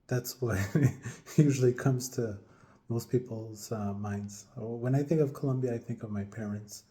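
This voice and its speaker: A man in his forties from upstate New York, telling his own story to camera in a hesitant, casual way with many pauses and fillers. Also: medium pitch, dark tone. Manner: hesitant, many ums and restarts, chuckles now and then. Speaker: vlogger.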